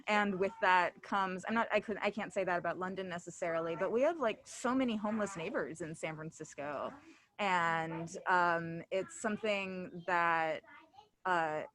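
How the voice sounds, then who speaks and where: A woman hosting a casual interview, speaking in a halting way as she searches for words. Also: fairly low pitch, a bit bright. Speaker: interviewer and event host.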